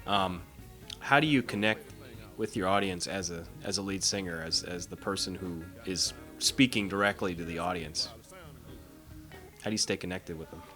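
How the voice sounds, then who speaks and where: Bright-voiced, hesitant male interviewer. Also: deep voice, lively intonation, moderate pace, soft background music. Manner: hesitant, restarting questions. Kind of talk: casual interview.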